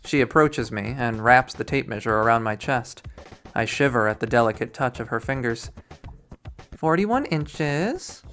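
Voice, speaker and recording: A narrator of unclear sex reads a story aloud in a medium-pitched voice. Music plays behind the reading, which is scripted narration from a visual novel. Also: steady tone, moderate pace, fairly bright tone.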